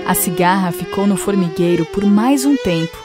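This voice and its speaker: A Brazilian woman narrating a scripted children's fable in an expressive storytelling style. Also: medium pitch, normal speed. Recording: music behind the voice.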